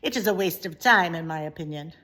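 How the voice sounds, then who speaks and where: A woman's voice: fairly low pitch, fairly quick, fairly bright tone. A woman voicing a vain, dramatic mother mouse with an exasperated, scornful manner. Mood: dismissive. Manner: theatrical, oddly phrased English, exasperated.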